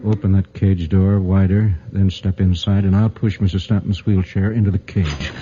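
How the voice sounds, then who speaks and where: An actor playing a steady, commanding newspaper editor in a 1940s radio drama, speaking in urgent, clipped orders. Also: male voice, low voice, normal speed, close to an everyday voice. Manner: urgent, clipped, takes charge. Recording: dull noisy 1940s radio recording.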